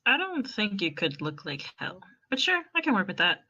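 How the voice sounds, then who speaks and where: A woman voicing a dry, reserved, teasing young man with a deadpan delivery in a fan audio drama. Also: mid-range voice, normal speed, slightly rough, full character voice.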